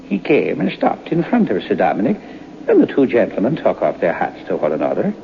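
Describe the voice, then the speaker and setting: An actor playing a storyteller who tells an eerie family tale in a folksy, suspenseful way for old-time radio. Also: male voice, on the low side, wildly swooping pitch, fairly quick, gravelly, exaggerated voice. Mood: hushed wonder. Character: Irish storyteller recalling his grandfather's eerie tale. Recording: dull old radio recording, faint noise.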